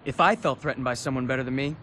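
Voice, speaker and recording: A man's voice: medium pitch, very fast, bright, forceful tone, a little hiss or hum, lightly acted. An actor playing an eager, easygoing young male skater in a video game, casual and slangy. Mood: defiant. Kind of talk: video game cutscene acting.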